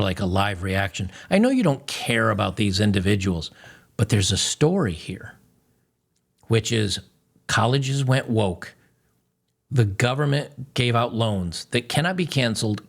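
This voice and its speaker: Host type: gravel-voiced man, blunt and sneering, reacts to clips. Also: on the low side. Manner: blunt, mocking, animated.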